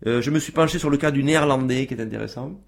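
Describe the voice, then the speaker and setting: A man's voice: mid-range voice, rapid-fire, gravelly. A man as a guest on a radio show, talking casually with many fillers and restarts.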